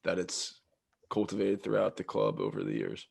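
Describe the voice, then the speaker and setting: Male co-host, heavy vocal fry, laid-back questions. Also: sits low, very animated, normal speed, darkish tone. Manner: laughs while asking, loose phrasing. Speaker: podcast co-host. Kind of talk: casual interview questions.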